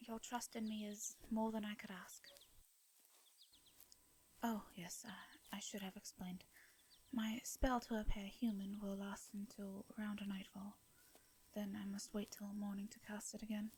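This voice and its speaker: A woman with an English accent playing a gentle, courteous vampire in an intimate fantasy audio drama, speaking softly and breathily in formal, hesitant phrases. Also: medium pitch, level tone, slight background noise, close to an everyday voice. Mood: earnest, wistful. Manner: soft breathy delivery, formal old-fashioned diction, hesitant pauses. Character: gentle, courteous female vampire, centuries-worn, wistful yet devious.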